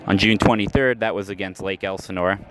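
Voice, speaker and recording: A lower-voiced man calls a baseball game pitch by pitch, weaving stats into a steady, measured radio delivery. He was recorded live at an outdoor ballpark, and the open-air ambience and traffic come through clearly behind him. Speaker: play-by-play announcer. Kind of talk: baseball radio play-by-play commentary.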